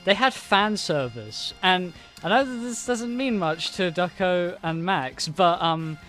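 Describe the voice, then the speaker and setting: A man's voice: sits high, lively intonation, measured pace, pushed and bright, soft background music. A man co-hosting a casual podcast, talking with enthusiasm and rambling a little. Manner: enthusiastic, rambling with fillers and laughs. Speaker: podcast co-host. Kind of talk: casual chat.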